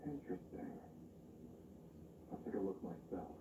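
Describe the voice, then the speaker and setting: A menacing game villain, declaims grandly with wide swings of pitch. The voice is far off in a noisy, echoey playback. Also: lively intonation, normal speed. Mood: grandiose, sinister. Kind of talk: scripted video game acting.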